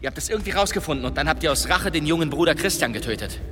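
An actor playing a taunting, suspicious and defiant demon hunter in a German fantasy radio drama. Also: male voice, fairly high pitch, lively intonation, racing, background noise, close to an everyday voice. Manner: taunting, accusatory. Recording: long cavernous reverb, music underneath.

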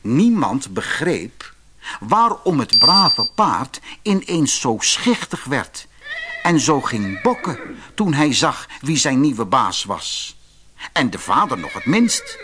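A man with a Dutch accent from the Netherlands reading a fairy tale aloud in expressive, scripted narration, with a slightly husky voice. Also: medium pitch. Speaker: audiobook narrator.

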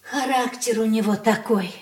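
A woman's voice: a little high, normal speed, close to an everyday voice. An actress playing a simple, flustered mother of grown children, with anxious, hesitant, folksy speech in a Soviet radio play. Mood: anxious, homely. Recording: roomy 1980s radio drama studio sound.

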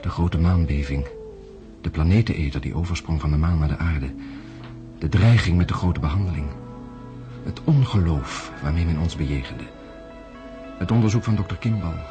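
A man voices a weary astronaut telling of a catastrophe in a radio play, in a very low, grave voice. The delivery is measured and despairing, and music plays clearly under the narration. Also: natural voice.

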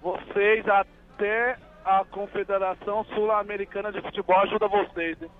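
Tinny high-pitched São man on location. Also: flat delivery, moderate pace. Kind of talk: casual live street link on radio. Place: on location at a roadside promotion point. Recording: tinny phone-like live radio link.

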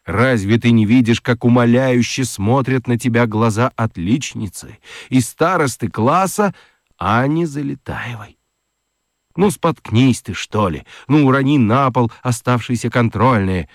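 A man giving an expressive, theatrical scripted narration of a children's story. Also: mid-range voice, a little slow, no echo, clean studio sound.

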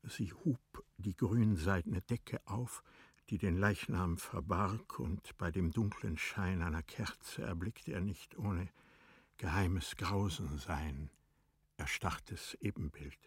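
Slow, husky-voiced male literary narrator. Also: no echo, studio quality. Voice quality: low, husky. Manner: solemn, deliberate, hushed at times. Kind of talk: scripted literary narration for radio drama.

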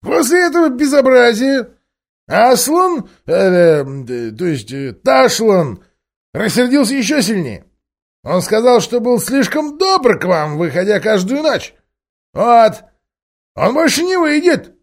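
A man voicing a scheming, bossy talking ape in an audiobook, shrill, mocking and shouting. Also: very animated, slow pace, vocal fry, full character voice. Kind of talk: audiobook character voice.

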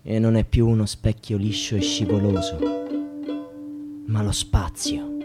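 Actor as very slow, brooding poetic man. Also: lowish voice, little pitch movement, over loud music, close to an everyday voice. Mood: solemn, brooding. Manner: measured, dramatic, with long pauses. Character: solemn male poetic narrator brooding on death and indifference. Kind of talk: poetic radio theatre recitation.

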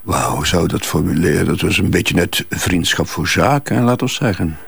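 A man playing an evasive, self-assured businessman under questioning in a crime drama, with a Flemish accent, a gravelly husky voice and repetitive, dismissive replies. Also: low voice, animated, normal speed, a bit bright, heavily acted. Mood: guarded, reminiscing. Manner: hesitant, repeats himself, dismissive.

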